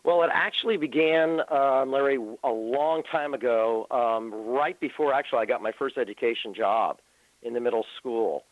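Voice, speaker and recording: A man's voice: mid-range voice, normal speed, no echo. 50s guy on a phone line, earnest, rambles, says um a lot, tinny dry sound. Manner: earnest, rambling with many ums. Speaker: paranormal researcher guest. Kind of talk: interview answers.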